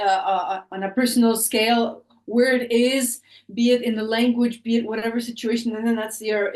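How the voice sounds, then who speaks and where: A woman with a higher voice hosts an interview, asking her questions with small hesitations. She sounds set back from the mic in a room with noticeable echo. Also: recorded from a distance. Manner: asks questions with frequent hesitations. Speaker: podcast host. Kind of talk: conversational interview.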